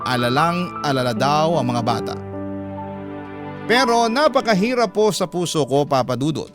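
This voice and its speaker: A man reading a letter aloud as a radio storyteller, in sentimental, measured, dramatic narration. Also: on the high side, big pitch swings. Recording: loud background music. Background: music bed.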